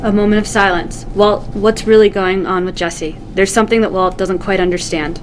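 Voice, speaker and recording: A woman's voice: medium pitch, steady tone, normal speed. Woman narrating screenplay directions, noisy recording. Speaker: stage directions reader.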